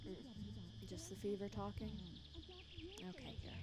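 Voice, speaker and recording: A woman's voice: medium pitch, steady tone, unhurried, heavy background noise, close to an everyday voice. A woman playing a wry, bossy but caring female villain who fusses over her hero nemesis in an intimate ASMR roleplay. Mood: concerned, soft. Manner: intimate, wry, fussing and bossy with soft teasing. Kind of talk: ASMR roleplay acting, one side of a conversation. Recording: clear cricket and insect ambience behind. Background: crickets and outdoor night insects.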